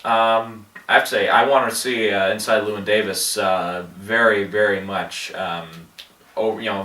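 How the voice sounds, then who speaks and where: A man with a head cold rambling through movie commentary, halting with many fillers and restarts. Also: fairly low pitch, sharp tone, recorded from a distance. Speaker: movie box office vlogger. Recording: echoey room, voice off-mic.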